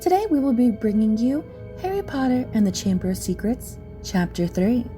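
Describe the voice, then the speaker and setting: A woman's voice: a little high, very animated, normal speed, dark tone, faint music behind. A woman narrator reading a scripted story dramatically for an audio play.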